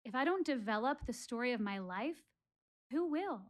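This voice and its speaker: An actress playing a reflective, wistful woman who quizzes her audience about life in a deliberate, expressive theatrical monologue, speaking as if confiding in them. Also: on the high side, natural voice. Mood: reflective, earnest. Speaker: actress in a one-woman show.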